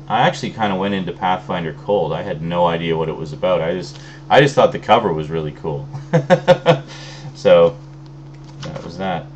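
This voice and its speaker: A man with a very low voice talks loosely through an unboxing, full of ums and trailing restarts. He sounds a little phlegmy from an oncoming cold. A faint hum sits under the recording. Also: normal speed, pauses often, muffled recording. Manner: relaxed, rambling, many fillers. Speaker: video host. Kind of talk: casual unboxing chat.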